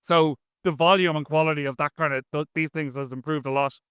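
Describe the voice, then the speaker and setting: A man with a bright, clear voice that sits a little high for a man. He talks like a guest in a casual interview, chatty and rambling with plenty of slang. The sound is close and dry. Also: fairly even intonation, a little fast, no room sound. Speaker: interview guest, software engineer.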